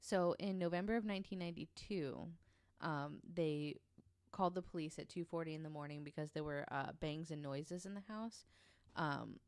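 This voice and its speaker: A woman's voice: low-pitched, moderate pace, darkish tone, clean tone, no echo, studio quality. A woman telling a true story casually on a podcast, reading quotes aloud in an informal, hesitant way.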